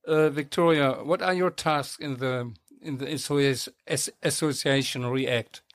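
A man's voice: medium pitch, fairly even intonation, measured pace, no room sound. A man with a German accent hosting a casual radio interview, joking and hesitating with many uhs. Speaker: radio host.

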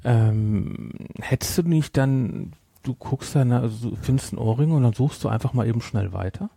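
A man's voice: medium pitch, normal speed, gravelly, warm tone, breathy edge, no echo, studio quality. A man co-hosting a podcast, chatting casually in a rambling, speculating way. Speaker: podcast co-host. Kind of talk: casual chat.

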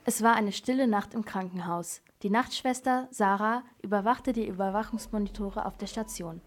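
A woman's voice: medium pitch, normal speed. A young girl reading scripted narration for an amateur radio crime play. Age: child or teenager.

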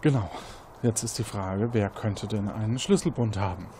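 A man's voice: lowish voice, moderate pace, dark tone, slight background noise. A man hosting as game master, narrating an interactive detective game in a conversational, improvised manner.

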